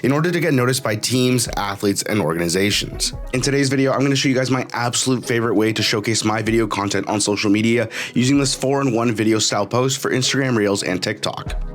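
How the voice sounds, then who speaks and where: A young man with a raspy voice from getting over the flu, talking viewers through a video editing tutorial in an upbeat, direct way. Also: mid-range voice, quick pace, soft background music. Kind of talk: scripted tutorial talk.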